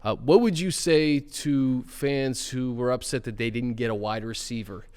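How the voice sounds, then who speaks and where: A man's voice: medium pitch, moderate pace, darkish tone, clean tone. A man hosting a sports podcast, chatting loosely about a player and putting questions to his co-host. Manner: conversational, asks questions, fillers like uh and um. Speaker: show host. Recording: reverberant room sound.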